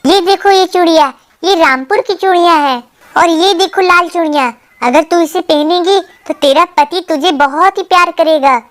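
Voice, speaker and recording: Chirpy storybook bird: extremely high, sing-song, childlike, exaggerated cartoon delivery. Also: female voice, normal speed. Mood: friendly, persuading. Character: chirpy female bird mother and young daughter, cartoon. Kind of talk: Hindi children's cartoon voice acting.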